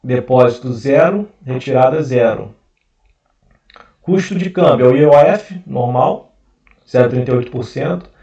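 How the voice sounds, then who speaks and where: Gravelly Carioca man, roomy distant sound. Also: medium pitch, expressive, normal speed, mellow. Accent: Carioca Portuguese. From Brazil. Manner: hesitant, many fillers and restarts. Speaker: investment channel host. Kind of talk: casual screen-share explainer. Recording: roomy, distant, dull mic.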